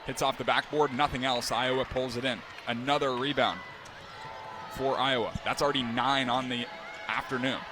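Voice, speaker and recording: A young man calling live games as a play-by-play announcer, steady between plays and climbing sharply in pitch and volume on big moments. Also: moderate pace, noisy background. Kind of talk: live sports play-by-play commentary.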